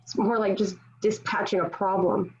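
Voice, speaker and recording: A woman's voice: mid-range voice, level tone, normal speed, darkish tone, slight background noise, natural voice. A woman playing a defiant, devout young warrior held captive, with solemn, declamatory phrasing in a table read of a historical drama, on a dull lo-fi recording. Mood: scornful, defiant. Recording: dull, lo-fi home online recording.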